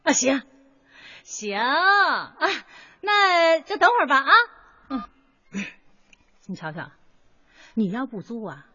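An actress in a radio drama playing a pushy, impatient landlady with a scornful manner and a rough-edged voice. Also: high voice, sing-song, slow pace, pauses often, bright, forceful tone, dull audio, heavily acted. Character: brusque, impatient female landlady, sneering and pushy.

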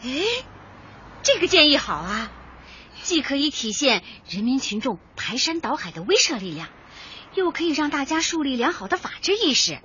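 High, bright female community director. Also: sing-song, moderate pace, highs cut off, slight background noise, full character voice. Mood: helpful, encouraging. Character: supportive, official-sounding female neighbourhood committee director. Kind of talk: radio comedy drama acting.

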